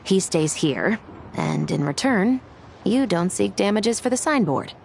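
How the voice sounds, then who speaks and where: An actress playing a composed female police officer in a game, speaking in a formal, firm and measured way. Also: mid-range voice, animated, vocal fry, slight background noise. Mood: stern. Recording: game dialogue over loud music.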